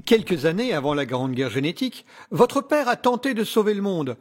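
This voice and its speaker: A man's voice: high-pitched, animated, normal speed, breathy edge. A man playing a cunning, courtly scientist villain in an audio drama, speaking in a formal, theatrical, condescending way with veiled menace. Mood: suave, condescending. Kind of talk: scripted audio drama acting.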